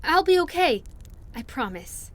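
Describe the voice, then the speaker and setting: High-voiced, hesitant young woman, acted by a woman. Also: big pitch swings, a little slow. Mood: earnest, tender. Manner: hesitant, rambling, earnest. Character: shy, anxious, affectionate young friend by a bonfire. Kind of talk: interactive audio roleplay acting.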